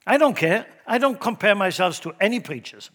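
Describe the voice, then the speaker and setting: Man, Swiss German accent, middle-aged pastor, slightly high voice, emphatic church sermon, loud then hushed. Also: animated, a little fast. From Switzerland.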